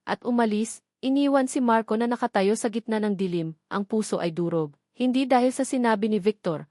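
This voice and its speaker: Female narrator for scripted romantic audio drama, mid-pitched, dry studio-style sound. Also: darkish tone, no echo. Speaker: story narrator.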